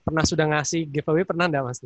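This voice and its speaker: A man's voice: on the high side, fairly even intonation, fast, no room sound. A man moderating an online seminar, polite and hesitant, with many fillers.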